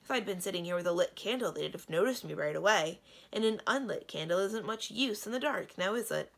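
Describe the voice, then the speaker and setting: A woman's voice: mid-range voice, lively intonation, brisk, gravelly. A woman voicing a courteous, apologetic young prince in a storybook reading. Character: polite, apologetic young male stone prince.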